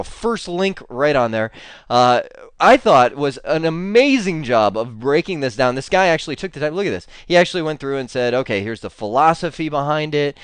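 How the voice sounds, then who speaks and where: A man's voice: fairly high pitch, dry recording. A man with a stuffy cold giving a chatty screencast tech lesson, full of fillers and bursts of enthusiasm. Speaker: instructor.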